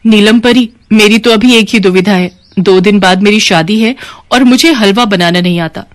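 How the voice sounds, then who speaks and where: A woman's voice: mid-range voice, moderate pace, close to an everyday voice. A woman voicing a kind young bride-to-be in a storybook tale, polite and earnest.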